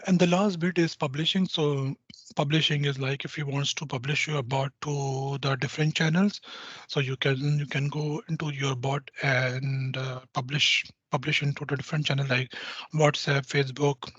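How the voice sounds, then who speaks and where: Guy explaining software stuff, husky voice, lots of uh and restarts, dull lo-fi call audio. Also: medium pitch, level tone, no echo. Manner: halting, full of restarts and "uh" fillers. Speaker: user group presenter. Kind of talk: technical software walkthrough.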